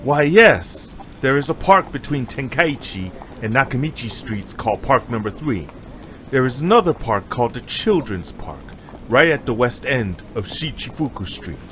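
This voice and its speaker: A man's voice: mid-range voice, big pitch swings, normal speed, a bit bright. A man playing a helpful, businesslike city taxi driver in an audio drama, with faint noise in the recording.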